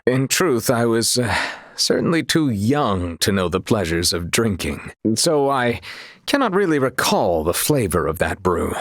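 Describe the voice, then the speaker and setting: An actor playing an earnest, chivalrous young knight in a video game, speaking formally and courteously with a husky, breathy voice. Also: male voice, mid-range voice, fairly bright tone, no echo, studio quality. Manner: formal, archaic, courtly phrasing.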